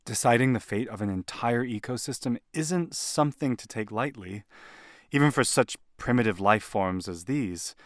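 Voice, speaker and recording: A man's voice: medium pitch, normal speed, no echo, studio quality, natural voice. A man playing an earnest, conscientious alien property buyer in an audio play, with a hesitant, deliberating delivery. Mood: pondering.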